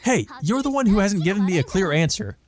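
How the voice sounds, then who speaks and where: A man acts out an excitable young vampire woman in a visual novel. The voice is pushed high and girlish, dramatic and possessive, swinging between indignation and teasing. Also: fairly quick. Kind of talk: visual novel character read aloud.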